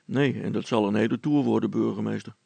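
Male reader doing a plain-spoken amateur inventor, storybook, worried, sceptical, colloquial grumble, gravelly, dry sound. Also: lowish voice, brisk, darkish tone, dry recording, heavily acted. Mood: doubtful, grumbling.

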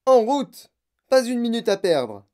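A woman voicing an excitable, bossy, eccentric male candy maker in a storybook, speaking in emphatic exclamations. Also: lowish voice, normal speed, voice pushed up, lightly acted. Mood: triumphant, excited.

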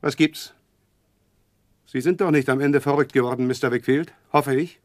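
A man's voice: medium pitch, fairly even intonation, moderate pace, no room sound, close to an everyday voice. Man doing a slimy humble-acting clerk, sly and spiteful underneath, old radio play, dry studio sound. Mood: indignant, brazen. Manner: obsequious, self-abasing, sly. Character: fawning, falsely humble, scheming clerk with hidden spite. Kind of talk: 1960s German radio drama acting.

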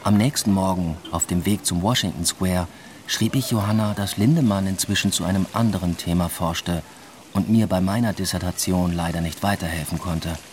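Radio play role: reflective young male student, played by a male actor, low understated voice, evasive and reserved. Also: steady tone, normal speed, a little mellow, a little hiss or hum, natural voice. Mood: reflective narration. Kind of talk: radio drama, first-person literary narration and dialogue.

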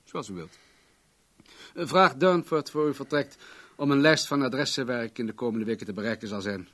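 An actor in a Dutch radio drama playing an imperious, self-satisfied millionaire with a grand, commanding manner. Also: male voice, medium pitch, brisk, fairly bright tone, natural voice.

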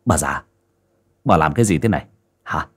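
A man voicing a cruel, hot-tempered grown son, speaking gruffly and snapping. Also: extremely low pitch, expressive, normal speed, pitched below the performer's own voice, exaggerated voice. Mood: enraged.

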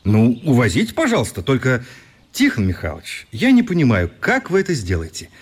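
A male newspaper correspondent from a radio play, courteous and quietly defiant. His voice is mid-pitched and husky, with very lively, ironic intonation. He phrases things articulately and wryly. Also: a little fast. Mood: wry, polite. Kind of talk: radio drama acting.

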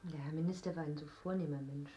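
A woman's voice: low-pitched, steady tone, fast, dark tone, a little hiss or hum, lightly acted. An actress playing a plaintive woman at home, grumbling to her partner in naturalistic radio drama dialogue. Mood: wistful, reproachful.

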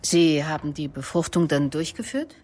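A woman's voice: low voice, normal speed, darkish tone. An actress in a German radio drama playing a probing female police inspector who also narrates, composed and direct. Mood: direct, questioning. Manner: direct, controlled questioning.

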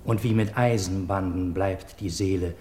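An echoey radio drama recording with some rumbling noise carries a man acting as a loyal royal messenger. He declaims classical verse in a lowish voice, formal, earnest and full of reverence. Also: fairly even intonation, moderate pace, warm tone, close to an everyday voice. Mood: earnest, concerned.

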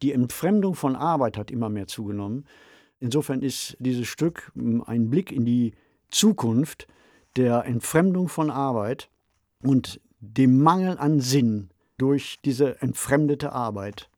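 Measured man in a dry studio. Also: mid-range voice, lively intonation, darkish tone, no echo. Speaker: podcast co-host. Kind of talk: reflective discussion of a radio play.

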